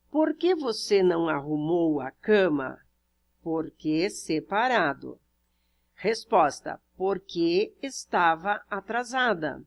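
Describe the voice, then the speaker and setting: A woman teacher with a Brazilian Portuguese accent who reads out workbook questions and explains each answer step by step. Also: fairly low pitch. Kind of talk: reading out and correcting a workbook exercise.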